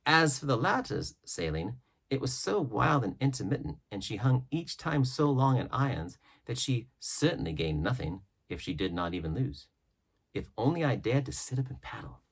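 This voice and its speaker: A male audiobook reader with a slightly rough, husky voice at a medium pitch. He tells an adventure tale dramatically, his intonation rising and falling widely. He leaves deliberate pauses between phrases. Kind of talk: expressive read-aloud of a classic adventure novel.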